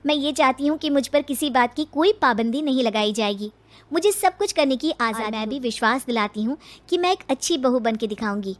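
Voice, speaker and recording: A woman's voice: sits high, expressive, a little fast. A woman voicing an educated, assertive young bride-to-be in a cartoon story. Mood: firm, polite.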